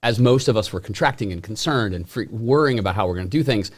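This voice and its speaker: A man co-hosting a podcast, bantering in an animated, joking way. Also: on the low side, fast. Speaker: podcast co-host. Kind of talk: casual joking podcast chat.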